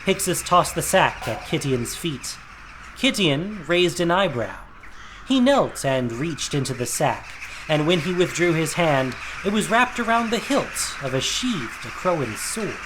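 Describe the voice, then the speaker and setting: A man's voice: on the high side, very animated, measured pace, background noise. A man narrating a fantasy audio drama as a scripted, dramatic storyteller.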